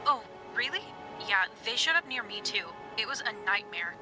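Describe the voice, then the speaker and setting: A woman's voice: a little high, expressive, moderate pace, over music. An actress playing a chatty, exasperated teenage girl in a video game, talking casually over a walkie-talkie. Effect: walkie-talkie radio. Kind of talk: naturalistic video game acting.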